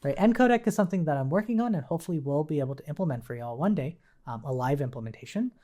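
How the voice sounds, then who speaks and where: A woman's voice: low voice, expressive, rapid-fire, dark tone. A woman giving a casual technical screencast tutorial as an instructor, informally checking in with the listener as she explains. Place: indoors at a computer.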